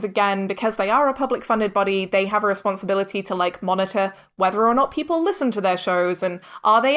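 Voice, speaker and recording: A woman's voice: mid-range voice, fairly bright tone. A talkative, informal woman speaking emphatically as a guest in a casual podcast interview. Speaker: interviewed audio drama director and producer.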